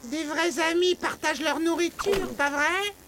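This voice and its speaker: Outcast cartoon microbe: extremely high, curt, guarded and hurt, loud music behind. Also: female voice, measured pace. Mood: wary. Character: aloof, wounded, smelly microbe outcast in a cartoon. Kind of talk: French-language cartoon dubbing.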